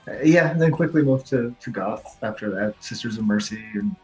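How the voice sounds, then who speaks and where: A man in a band, a guest who gives brief, low-key answers in a casual interview. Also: lively intonation, brisk, a little mellow, soft background music, low-quality recording.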